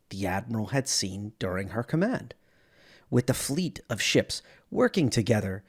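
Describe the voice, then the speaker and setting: A man giving a dramatic, measured narration of science fiction lore as a storyteller. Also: medium pitch, darkish tone.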